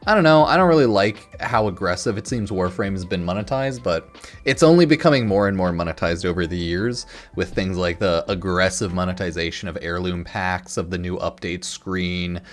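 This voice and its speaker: Low-voiced guy giving casual gaming commentary over music. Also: moderate pace. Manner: rambling, opinionated, slangy. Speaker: gaming video creator and commentator. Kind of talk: casual off-the-cuff news commentary.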